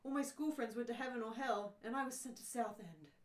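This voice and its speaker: A woman's voice: on the high side, fairly quick, natural voice. A woman reading the part of a bewildered, gloomy male space castaway in an amateur radio play. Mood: gloomy, puzzled. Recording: echoey room.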